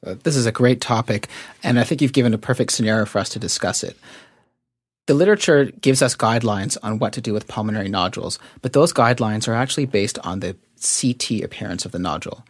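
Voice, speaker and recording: Lowish-voiced man explaining methodically, dry sound. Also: no echo. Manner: methodical, didactic, measured phrasing. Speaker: guest expert, radiologist. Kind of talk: conversational expert explanation in an interview.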